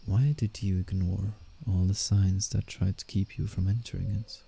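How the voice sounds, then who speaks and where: A man's voice: flat delivery, heavily acted. A man playing a commanding, teasing alpha werewolf in a roleplay ASMR, with menace in his delivery. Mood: probing, firm. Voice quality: dark, gravelly low voice. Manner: slow, deliberate, teasing menace. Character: male alpha werewolf, predatory, teasing, commanding forest protector.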